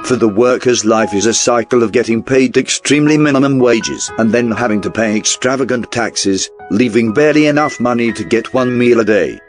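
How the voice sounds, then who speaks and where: A man reading a scripted newsreel-style documentary narration in a formal, measured way. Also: medium pitch. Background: background music.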